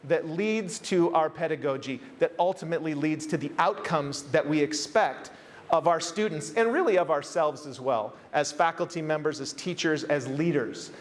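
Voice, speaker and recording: Earnest American man giving a keynote. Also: fairly high pitch. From United States. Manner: earnest, measured, repeats phrases for emphasis. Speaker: conference keynote speaker. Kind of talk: conference keynote talk with opening prayer. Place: conference hall.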